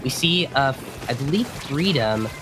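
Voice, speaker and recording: A man with a raspy voice, hoarse from a cold, doing co-commentary for a video game tournament and hesitating often with fillers and restarts. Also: mid-range voice, animated, unhurried, music in the background. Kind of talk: esports commentary.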